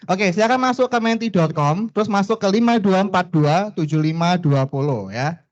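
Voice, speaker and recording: A young man hosting a company livestream quiz, excitable and chatty, tagging many sentences with little fillers. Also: high-pitched, normal speed, highs cut off. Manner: excitable, chatty, peppers talk with "gitu ya". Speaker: quiz host. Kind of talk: lively corporate livestream hosting.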